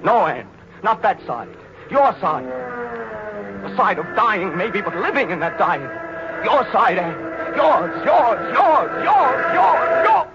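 A dull, faintly noisy vintage radio recording carries a young American navy pilot. His voice sits a little high, and he speaks with theatrical intensity, a hard-bitten cynic turned fervent believer in a 1940s drama. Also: very animated, slow, full character voice. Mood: fervent, rising. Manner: intense, theatrical, searching delivery.

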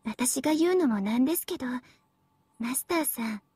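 A woman's voice: high voice, expressive, a little fast, warm tone, slightly rough, no room sound. A woman voicing a smug, teasing, villainous young schoolgirl in a Japanese mobile game, with a cutesy, theatrical manner. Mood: playful, teasing.

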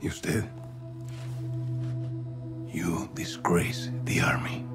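An actor playing a fiery, principled special forces commander in a video game, intense and emphatic, with a Mexican accent. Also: male voice, very slow. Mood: bitter accusation. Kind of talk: video game cinematic acting. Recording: music behind.

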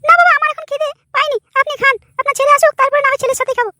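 A woman voicing a dutiful, pleading young daughter-in-law in a comic cartoon, squeaky and placating. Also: steady tone, rapid-fire, pushed and bright, no echo, studio quality, full character voice.

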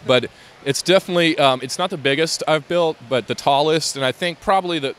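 A man's voice: on the high side, brisk, fairly bright tone. A man, talking casually about his LEGO build at a convention, with lots of fillers and restarts. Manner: rambling, many fillers and restarts. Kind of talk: casual interview. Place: convention hall, indoors.

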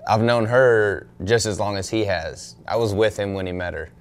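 A young man, a pro angler, answering questions at a press conference in a relaxed, thoughtful way, full of "you know" and "um". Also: fairly low pitch, moderate pace, clean tone. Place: indoor press room in front of a backdrop. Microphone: desk microphone on a stand.

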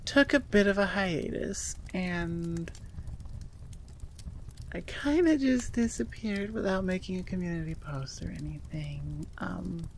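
A woman's voice: on the low side, slow pace, vocal fry, a little hiss or hum. A woman audio creator talking casually and personally to her listeners, in an earnest, rambling way.

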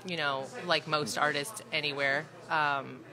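Relaxed, chatty woman guest. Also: low voice, unhurried. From Chicago. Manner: relaxed, chatty, laughs easily. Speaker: guest photographer. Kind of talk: casual interview. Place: busy restaurant. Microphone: broadcast mic on stand. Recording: crowd chatter behind. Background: restaurant crowd chatter.

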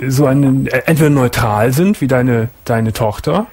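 A man's voice: mid-range voice, a little slow, darkish tone, husky. Blunt middle-aged man radio host. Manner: blunt, firm, direct advice. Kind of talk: late-night call-in counselling. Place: radio studio. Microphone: studio mic with headphones.

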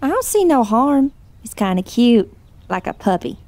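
A woman's voice: fairly high pitch, very animated, normal speed, mellow. A woman playing flirty cruel kidnapper. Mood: taunting. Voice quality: flirtatious, mocking.

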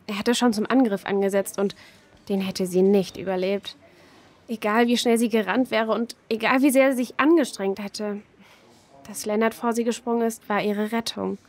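A woman playing a gentle, patient grown-up who comforts and explains carefully to children in an audio drama. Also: a little high, steady tone, normal speed, natural voice. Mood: gentle, serious.

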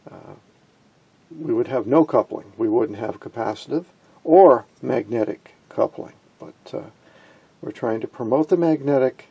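A man giving an informal hands-on electronics tutorial in a gravelly, slightly husky voice, posing questions and answering them. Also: mid-range voice, dark tone. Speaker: electronics hobbyist presenter.

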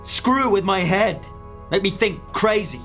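Troubled, defensive young man, lively pitch. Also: unhurried. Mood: edgy, paranoid. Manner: terse, edgy, defensive. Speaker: actor. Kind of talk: audio drama acting. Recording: clear music under the voice.

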